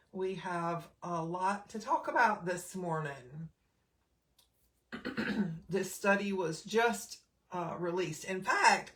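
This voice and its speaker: A woman's voice: fairly low pitch, a bit bright. Slightly scratchy woman chatting on a live stream. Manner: chatty, many fillers, greets viewers by name. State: slightly scratchy throat, clearing it often. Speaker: nurse and health educator hosting a live stream. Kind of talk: casual live-stream talk.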